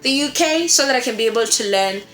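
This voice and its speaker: A woman's voice: on the high side, lively intonation, quick pace, fairly bright tone. A woman giving an informal tutorial as a voice-acting coach, speaking in an encouraging, emphatic way and often repeating herself for stress. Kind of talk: informal instructional talk to viewers. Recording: roomy echo with music behind. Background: music.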